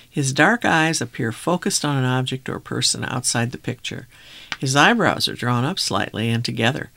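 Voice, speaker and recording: Gravelly man reading a memoir aloud. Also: mid-range voice, sharp tone, no room sound. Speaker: reader.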